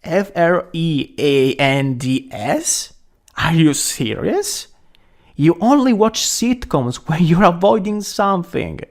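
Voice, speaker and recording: A young man acting a caring, worried best friend in an ASMR roleplay, gentle, hesitant and intimate. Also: on the high side, lively intonation, takes it slowly. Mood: incredulous, teasing.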